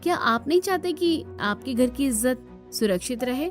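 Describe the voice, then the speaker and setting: A woman's voice: high-pitched, lively intonation, moderate pace, soft background music. A woman voicing a spirited, outspoken young village daughter-in-law in a cartoon. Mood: earnest, persuasive. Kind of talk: Hindi cartoon dialogue acting.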